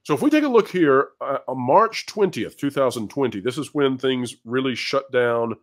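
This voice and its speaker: A man's voice: medium pitch, expressive, moderate pace. A man hosting commentary and panel talk, with an emphatic, wordy presenter's delivery. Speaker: host of an entertainment business news channel.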